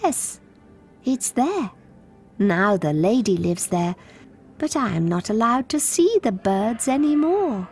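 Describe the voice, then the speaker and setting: A woman's voice: medium pitch, sing-song, slow pace, a little mellow, faint music behind. A woman playing a childlike, disturbed asylum patient in a video game, plaintive and confiding. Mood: sweet, confiding. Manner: childish, plaintive, drifting into breathy confiding whispers. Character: childlike disturbed female asylum patient devoted to her doll.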